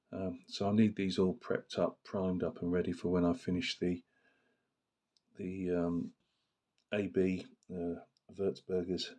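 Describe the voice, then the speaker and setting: Hoarse, deep-voiced man chatting about a hobby. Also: darkish tone. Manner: rambling, many fillers and restarts. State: hoarse from a slight cold. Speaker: miniature painting channel host. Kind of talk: casual hobby talk to camera.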